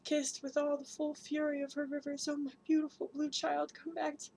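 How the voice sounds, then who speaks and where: A woman giving a dramatic spoken-word performance, chanting long repeated lists in an incantatory way and swinging between hushed whispers and loud cries. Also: high-pitched, monotone, moderate pace, vocal fry, a little hiss or hum.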